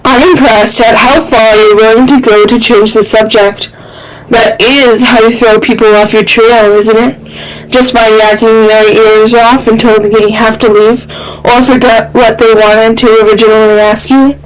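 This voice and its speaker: Woman playing a stern male investigator, dry, serious, measured, shrewd, fan fiction character. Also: fairly high pitch, level tone, a little hiss or hum, natural voice, poor audio. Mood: serious, unimpressed.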